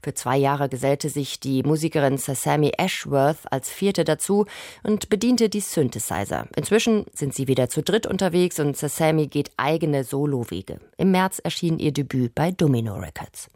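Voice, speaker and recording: A woman's voice: deep voice, lively intonation, normal speed, mellow, dry recording, clean studio sound. A woman reading a scripted radio music feature as its presenter. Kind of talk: scripted narration.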